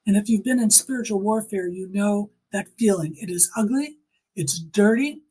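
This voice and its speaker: A woman's voice: medium pitch, moderate pace, warm tone, breathy edge, low-quality recording. A woman giving personal testimony in an interview, speaking emphatically and repeating phrases to drive points home.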